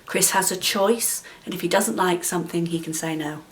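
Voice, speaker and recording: A woman's voice: on the low side, fairly even intonation, normal speed, slight background noise. A middle-aged woman giving earnest interview testimony as a mother. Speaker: mother of an adult son.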